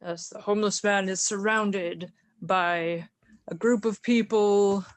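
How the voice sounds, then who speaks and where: A woman narrating a group comic reading, describing scenes live in a halting way. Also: mid-range voice, fairly even intonation, no echo.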